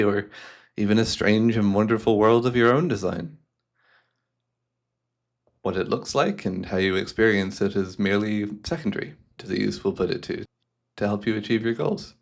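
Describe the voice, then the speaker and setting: A man's voice: fairly low pitch, gravelly. A man playing a courteous, devious hypnotist who speaks in a formal, soothing, theatrical way, as in an ASMR roleplay. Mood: smooth, assured. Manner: suave, formal, unhurried, theatrical. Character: devious, courteous male hypnotist guiding a client.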